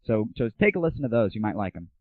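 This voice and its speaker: A man's voice: fairly low pitch, sing-song, fast talker, noisy background. A man hosting a casual audio show, ranting in a blunt, irreverent and exasperated manner. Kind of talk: casual opinionated gaming and music rant. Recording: tinny, phone-like lo-fi 1990s audio.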